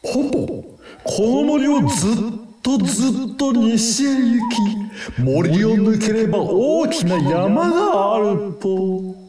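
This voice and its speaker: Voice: medium pitch, animated, slow pace, dark tone, roomy sound, recorded from a distance. An actor voicing a pompous, helpful elderly owl in an audio drama, talking in an old-fashioned way with hooting verbal tics. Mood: pondering. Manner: old-fashioned, ponderous, theatrical.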